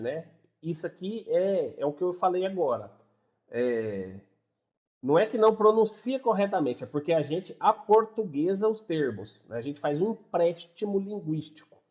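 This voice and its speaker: A man from Brazil with a Brazilian Portuguese accent, explaining a lesson in a casual, chatty way and checking in with listeners after nearly every sentence. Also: a little high. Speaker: English teacher. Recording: dull lo-fi recording.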